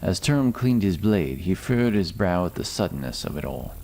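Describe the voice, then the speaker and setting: A man narrating a fantasy story in a measured, dramatic scripted style. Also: low-pitched, very animated, creaky, darkish tone. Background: music.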